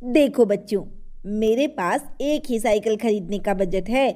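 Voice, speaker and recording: A woman voicing a sensible, measured father instructing his children in a cartoon story. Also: medium pitch, mellow, lightly acted. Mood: firm.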